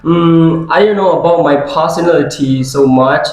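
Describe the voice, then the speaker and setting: A man in his twenties from Brazil, a Portuguese speaker being interviewed about his identity, talking slowly and thoughtfully. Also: a little high. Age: 20s. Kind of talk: scripted interview role-play. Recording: very echoey room.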